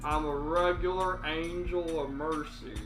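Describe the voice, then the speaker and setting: A man's voice: fairly high pitch, steady tone, a little slow, natural voice. A man voicing a callous, smug male mob hitman with a folksy drawl in an amateur table reading. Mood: smug menace. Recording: roomy echo with music behind.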